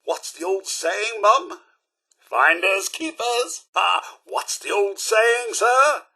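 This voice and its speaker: An actor playing a prim, loyal robot butler in a video game, with a British accent and a formal, theatrical, fussily polite manner. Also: male voice, high-pitched, expressive, takes it slowly, pushed and bright, slightly rough, heavily acted. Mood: cheerful, proud. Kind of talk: scripted video game companion dialogue.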